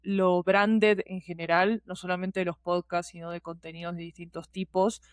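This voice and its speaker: A woman's voice: fairly low pitch, flat delivery, moderate pace, clean tone, no room sound. Woman explaining casually, dry sound. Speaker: guest, podcast producer and teacher. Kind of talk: casual explanatory interview talk.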